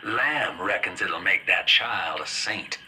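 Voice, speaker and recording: A plain-spoken, folksy ally guiding the hero of a video game. His lowish voice has a hard, forceful edge and comes over a faintly hissy radio. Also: animated, slow, full character voice, low-quality recording. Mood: grave, urgent. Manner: colloquial, matter-of-fact.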